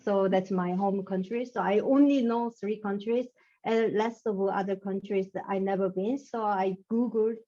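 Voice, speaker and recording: A young woman from South Korea with a Korean accent, speaking English haltingly as a guest author in a casual interview. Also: medium pitch, fairly even intonation, mellow. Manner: halting, searching for words, laughs often. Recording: dull lo-fi sound.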